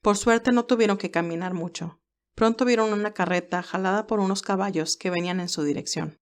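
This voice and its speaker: A woman's voice: medium pitch, fairly quick. A woman reading scripted fiction as the narrator of an audio story.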